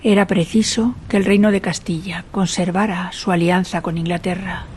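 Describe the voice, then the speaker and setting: A woman's voice: fairly low pitch, fairly even intonation, normal speed, darkish tone, a little hiss or hum, natural voice. An actress playing a dignified, grieving queen who recalls her youth in a solemn, literary radio drama monologue. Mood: sorrowful, solemn.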